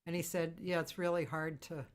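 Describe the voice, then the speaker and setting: A woman's voice: low-pitched, fairly even intonation, brisk, background noise. A woman chatting casually and easily as the host of a live interview show.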